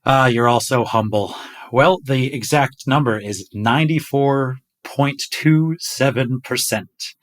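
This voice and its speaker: A man's voice: mid-range voice, slow. A middle-aged man hosting a podcast conversation over a video call, enthusiastic as he shares numbers with his team. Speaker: podcast host. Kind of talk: casual chat.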